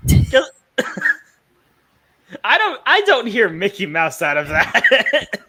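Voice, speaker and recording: Man, high voice, very lively intonation, casual game commentary, halting restarts. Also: slightly rough. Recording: loud background music.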